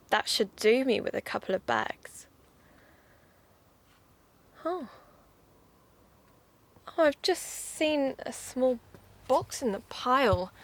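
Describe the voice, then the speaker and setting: A woman playing an earnest, curious young investigative podcaster in a naturalistic audio drama, talking as if thinking aloud. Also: sits high. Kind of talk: naturalistic audio drama acting, narrating into recorder.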